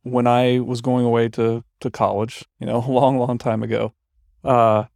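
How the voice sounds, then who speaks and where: Man in his forties, gravelly voice, rambling podcast co-host, dry sound. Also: mid-range voice, little pitch movement, moderate pace, a little mellow, dry recording. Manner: rambling, reflective. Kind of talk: casual chat.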